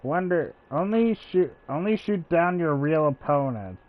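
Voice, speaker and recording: Slow-paced, higher-voiced male game streamer. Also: expressive. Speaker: gaming streamer. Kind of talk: casual livestream game commentary. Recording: dull, slightly lo-fi mic.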